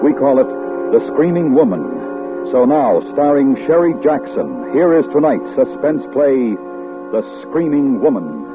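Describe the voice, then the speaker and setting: A man's voice: medium pitch, very animated, unhurried. A man announcing a 1950s radio thriller in a formal, dramatic manner. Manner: dramatic, ominous. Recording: tinny old radio broadcast with music.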